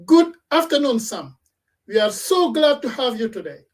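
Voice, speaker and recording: A woman hosting a radio talk program, giving formal introductions and moderating a panel in a measured way with frequent restarts. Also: high-pitched, big pitch swings, far from the mic. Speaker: radio talk show host. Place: in Toronto.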